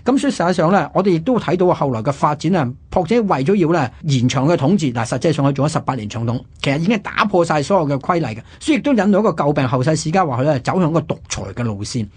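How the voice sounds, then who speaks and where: A man's voice: fairly high pitch, animated, very fast, hardly any pauses, slightly rough. A male radio host with a Hong Kong Cantonese accent, telling history conversationally and emphatically with rhetorical questions.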